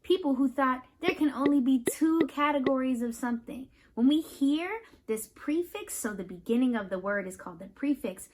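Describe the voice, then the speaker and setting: A woman with a high voice reads aloud for children as a host and teacher. Her pitch swings widely as she explains things step by step. She speaks straight to the listener, checking in with simple questions. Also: moderate pace, hardly any pauses, a little hiss or hum. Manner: animated, instructive, addresses listeners with questions. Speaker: host reading a picture book aloud to children.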